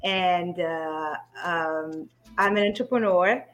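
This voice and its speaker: A woman's voice: on the low side, slow pace, faint music behind. A middle-aged woman from Haiti, a guest on a podcast who talks about herself in a hesitant way, with many ums and frequent laughs. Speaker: podcast guest, business coach. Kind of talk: casual podcast interview.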